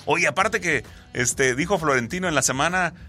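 A man, chatting casually as a football pundit, jumping in with quick asides. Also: fairly high pitch, soft background music. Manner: animated, talks over his partner with asides. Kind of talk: casual sports chat.

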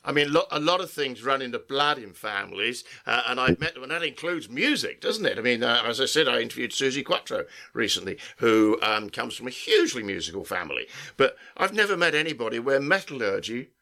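A man hosting an interview, speaking haltingly with frequent restarts and stammers. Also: medium pitch, sing-song, creaky, pushed and bright. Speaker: interviewer host.

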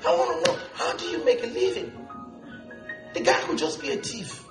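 Pastor, male, high-pitched, lively joking sermon, echoey church with music underneath. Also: moderate pace. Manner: preaching with asides and laughter.

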